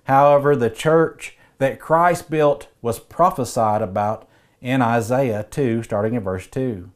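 A man in his forties preaching a scripted sermon, reading Bible verses in a measured, earnest way, recorded in an indoor study with bookshelves. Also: mid-range voice.

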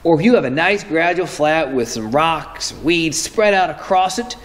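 A man's voice: fairly high pitch, expressive, normal speed. A man in his thirties hosting a fishing show, explaining his methods in a casual, explanatory way and correcting himself as he goes, filmed indoors in a tackle room close to the camera. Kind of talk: instructional fishing talk to camera. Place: indoor tackle room with lure-covered wall.

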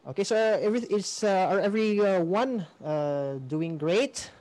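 Man, Filipino accent, program host, fairly high voice, halting informal delivery, dry close sound. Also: a little mellow, no room sound. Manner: halting, searching for words. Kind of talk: informal live program hosting.

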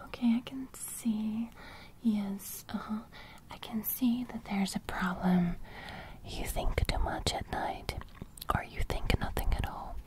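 A young woman playing a gentle sleep fairy in a whispered ASMR roleplay, murmuring breathy, repetitive reassurances close to the microphone. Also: medium pitch, slow, lightly acted, low-quality recording. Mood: reassuring. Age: young adult. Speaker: ASMR creator. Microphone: close studio microphone.

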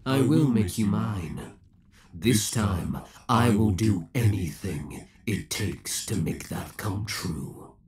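A man's voice: lowish voice, animated, slow pace, darkish tone. A man playing an obsessive young villain in a roleplay audio drama, theatrical and formal, taunting with cold affection. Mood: possessive, controlled. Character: obsessive young male villain, possessive, menacing, coldly affectionate.

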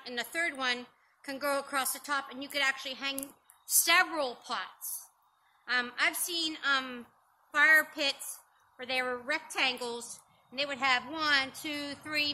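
A middle-aged woman with a high, sharply bright voice talks homely and chatty, stepping through how she cooks over an open fire. She fills pauses with ums as she explains. She is recorded outdoors on a yard by a camera a few meters off, with faint noise behind. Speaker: host demonstrating open-fire cooking. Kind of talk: casual outdoor cooking demonstration. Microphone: camera on a stand a few meters away.

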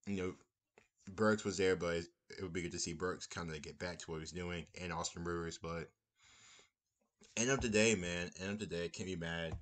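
A young man with a low, deep voice gives a relaxed post-game reaction. He rambles and circles back to the same phrases. He is recorded on a webcam in a small room. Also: moderate pace. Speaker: basketball fan commentator.

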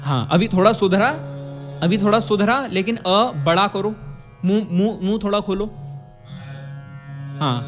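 Young indian guy, pretty high voice, explaining vocal practice like a teacher, soft music in the back. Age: 20s. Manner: explanatory, demonstrates sounds, checks in with tag questions. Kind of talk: instructional talk on singing and diction. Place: indoor curtained studio. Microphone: studio condenser microphone close in front.

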